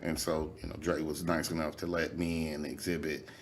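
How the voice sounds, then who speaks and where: Low nasal-voiced man talking loosely. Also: flat delivery, moderate pace. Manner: loose, candid, swearing freely, many fillers. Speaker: rapper, guest. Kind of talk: casual interview conversation.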